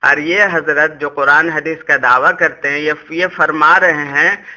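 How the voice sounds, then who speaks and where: A man's voice: a little high, fairly even intonation, a little slow, pushed and bright. A man giving an argumentative religious explanation on a recorded phone call, insistent and lecturing, often repeating himself.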